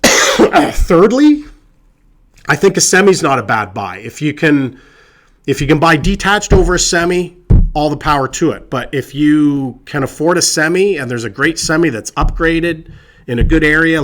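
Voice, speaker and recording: A man, a real estate agent giving casual, reassuring advice to camera, hoarse from a cold and chatty with lots of fillers. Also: mid-range voice, fairly bright tone. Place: indoors with a colleague present.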